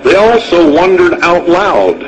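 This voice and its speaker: An American man delivering a dramatic radio monologue in an emphatic, deliberate manner with pointed pauses. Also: sits high, big pitch swings, slight background noise, rough recording. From United States. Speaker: radio speaker. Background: music.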